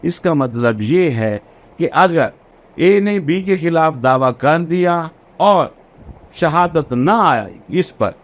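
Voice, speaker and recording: A man lectures on law, taking his time and laying it out point by point. His voice sits a little high for a man, and he slows right down to read the English clauses of the statute. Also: expressive, lo-fi sound. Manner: explains step by step, reads the law's clauses slowly in English.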